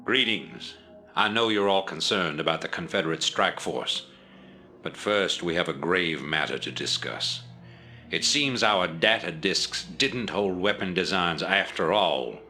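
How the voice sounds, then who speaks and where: A calculating rebel leader in a game cutscene, his voice very low with a bright, forceful edge. He talks in a measured, persuasive way. Soft game music runs underneath. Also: heavily acted. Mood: grave. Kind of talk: video game cutscene dialogue.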